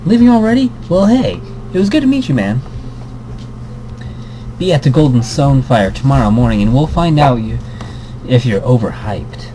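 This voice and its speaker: A man's voice: mid-range voice, big pitch swings, normal speed, pauses often, dark tone, slight background noise, natural voice, poor audio. A man voicing an easygoing, encouraging male pro gamer from a visual novel, friendly and teasing.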